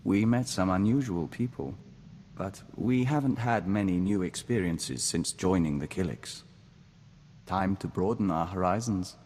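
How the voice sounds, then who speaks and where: A serene game diplomat bonded to an insect hive, his pitch deep. He speaks formally and carefully, as a collective "we." The recording has long reverb and some background noise. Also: darkish tone. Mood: calm, reflective. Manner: formal, measured, speaks of himself as we. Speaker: game companion character. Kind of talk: scripted game dialogue acting.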